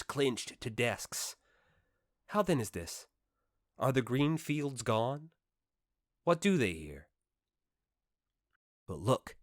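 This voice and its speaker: A man narrating a literary audiobook with expressive, rhetorical delivery and measured phrasing. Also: lowish voice, dry recording, clean studio sound. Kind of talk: dramatic literary audiobook reading.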